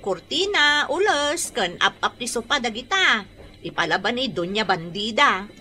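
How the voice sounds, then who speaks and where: Bright, high-pitched Ilocano woman, emphatic and expressive. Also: normal speed, slight background noise. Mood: friendly, practical. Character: brisk, firm married woman running a laundry shop. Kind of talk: Ilocano radio drama acting.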